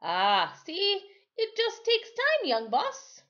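A woman voicing a big, gentle, protective old sailor in a storybook, with emphatic, drawn-out dialect. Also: very high-pitched, wildly swooping pitch, highs cut off, voice pushed up, full character voice. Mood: reassuring.

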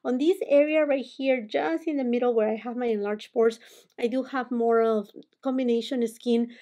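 A woman's voice: fairly high pitch, moderate pace. Chatty Peruvian-accented woman in her forties. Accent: Peruvian Spanish. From Peru. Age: 40s. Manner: animated, gestures a lot while talking. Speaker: beauty vlogger. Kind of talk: makeup tutorial chat. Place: home room with holiday decor.

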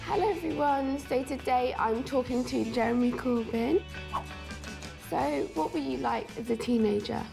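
A teenage girl hosting a casual interview, a bit hoarse from a sore throat, chatty and upbeat. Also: high voice, normal speed, over music, poor audio. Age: teens. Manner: chatty and upbeat, reacts with short exclamations. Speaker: host. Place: living room with bookshelves.

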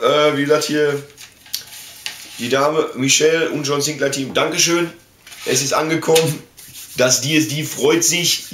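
A man's voice: mid-range voice, a bit bright. A man in his forties from Germany, chatting in a colloquial, slangy, enthusiastic way as a vlogger showing off collectibles. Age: 40s. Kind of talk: casual unboxing chat. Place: small indoor room full of collectibles. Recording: echoey room with faint noise, clicks.

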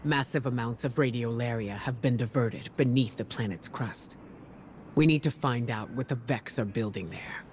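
A woman playing a composed, reflective commander and spymaster in a video game, speaking in a measured, solemn, deliberate way. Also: very deep voice, darkish tone, slight background noise. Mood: resolute. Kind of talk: scripted video game dialogue. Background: game music.

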